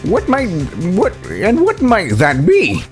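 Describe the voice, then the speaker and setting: A man's voice: high-pitched, wildly swooping pitch, slow pace, soft background music, voice pushed up, heavily acted. A man voicing a flustered, easily startled questioner in a courtroom video game. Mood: puzzled.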